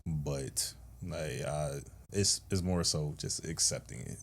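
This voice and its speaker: A man's voice: slow, gravelly. A man co-hosting a podcast, talking candidly and earnestly in casual slang. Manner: earnest, candid, slangy, with a deep stern register. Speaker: podcast co-host.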